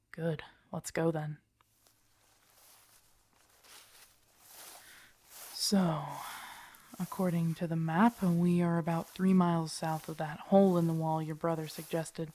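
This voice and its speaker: A woman's voice: lowish voice, warm tone, lightly acted. A woman acting in an audio roleplay as a grumpy, protective zombie apocalypse survivor, blunt and sardonic, talking intimately to her companion. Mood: brisk, matter-of-fact.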